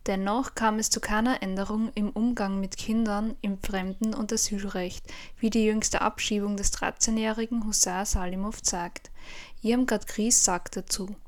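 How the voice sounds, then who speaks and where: A woman's voice: mid-range voice, fairly even intonation, moderate pace, a little mellow. A woman reading scripted news narration as a radio reporter.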